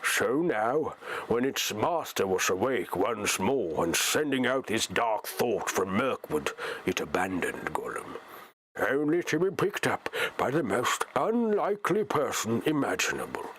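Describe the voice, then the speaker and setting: A man's voice: medium pitch, very animated, fairly bright tone. A Norwegian-accented man, whose first language is Norwegian, voicing a grave, wise old male wizard during a live reading. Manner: slow, solemn, weighty delivery.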